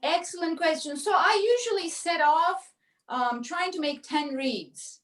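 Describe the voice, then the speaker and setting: A woman's voice: extremely high pitch, a little slow, a bit bright, reverberant, distant mic. A woman whose first language is Turkish, an oboist talking chattily in an online interview. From Turkey. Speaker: principal oboist.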